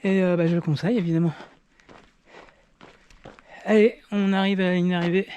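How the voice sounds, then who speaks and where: A man's voice: high-pitched, fairly even intonation, normal speed, a little mellow, smooth. A man filming himself during a mountain trail race, talking casually and tired, with lots of fillers and restarts. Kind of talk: casual vlog commentary while running.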